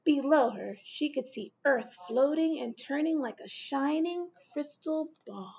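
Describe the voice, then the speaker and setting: A woman teacher reading a picture book aloud to children, gentle and slow, with questions to her listeners. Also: sits high, expressive, creaky, dull audio.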